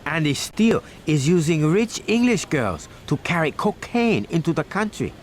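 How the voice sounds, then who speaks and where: Expressive, commanding gang boss. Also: male voice, on the high side, normal speed, slight background noise. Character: commanding male gang boss giving ruthless orders. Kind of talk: video game cutscene acting.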